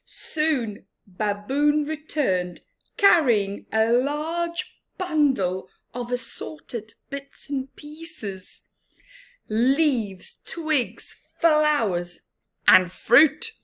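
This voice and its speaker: A woman reading a picture book aloud expressively for young children, pausing for asides to her listeners. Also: high voice, animated, a bit bright. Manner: slow, deliberate storyteller addressing small children. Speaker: children's story reader.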